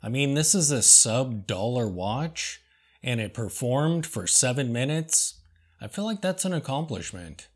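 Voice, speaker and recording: A man with an ordinary, middle-pitched voice talks very slowly and deliberately, landing each sarcastic aside with a dry, deadpan touch. Quiet lounge music plays softly under his voice. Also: slightly rough. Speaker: host. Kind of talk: deadpan comedic commentary reading reviews.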